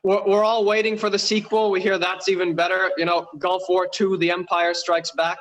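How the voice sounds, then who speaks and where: A young man from Bangladesh with a Canadian-influenced accent delivers a dry, deadpan stand-up set from home over a video call. His voice is high and strikingly bright. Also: normal speed, poor audio. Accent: Canadian-influenced English. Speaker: stand-up comedian.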